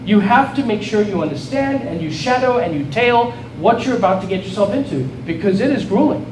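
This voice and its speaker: South African man, middle-aged, high-pitched, earnest political speech, distant and echoey, noisy hall. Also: animated, a little fast. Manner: earnest, rallying, repeats and restarts phrases. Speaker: state legislator giving a speech. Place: event hall with an audience.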